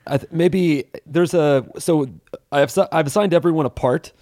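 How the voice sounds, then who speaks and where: Male podcast comedian, higher-pitched, improvising casual banter in a dry studio sound. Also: normal speed, a little mellow, no room sound.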